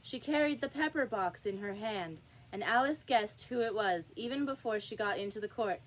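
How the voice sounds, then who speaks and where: A woman's voice: mid-range voice, lively intonation, normal speed, low-quality recording. A woman reading a classic story aloud as scripted audiobook narration. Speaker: audiobook reader.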